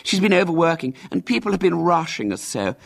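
A man's voice: a little high, sing-song, brisk, creaky, pitched above the performer's own voice, exaggerated voice. A man reading a storybook, voicing a conceited, self-important young boy with a haughty, boastful manner.

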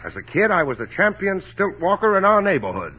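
Brief: bashful out-of-work actor, husky voice, lively pitch, hesitant stammers, old radio sound. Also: male voice, brisk, exaggerated voice. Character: hard-up male actor, bashful and playful. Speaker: struggling stage actor. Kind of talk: 1940s radio acting. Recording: dull lo-fi old radio recording.

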